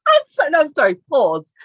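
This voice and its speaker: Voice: sits high, huge pitch swings, moderate pace, a bit bright, no echo, dull audio. A podcast co-host asking questions and teasing the guest, laughing a lot. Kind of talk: casual podcast chat.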